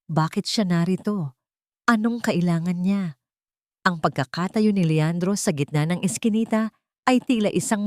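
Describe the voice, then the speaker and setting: A woman with a Filipino accent narrating a dramatic first-person story in measured, solemn phrases. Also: medium pitch, darkish tone, no echo, clean studio sound. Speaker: audiobook narrator.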